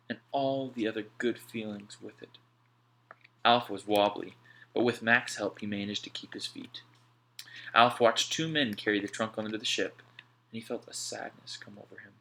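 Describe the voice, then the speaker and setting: A man reading an audiobook aloud in an expressive storytelling style. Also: on the low side, vocal fry, slight background noise, low-quality recording. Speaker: audiobook reader.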